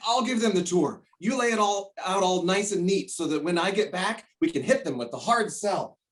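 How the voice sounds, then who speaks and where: A man's voice: high voice, animated, fairly bright tone, recorded from a distance. A man playing a bossy, greedy middle-aged shopkeeper father in a stage play, blustering and drawing out his words. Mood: gleeful, hard-selling. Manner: blustering, emphatic, drawn-out words. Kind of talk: stage play acting over video call.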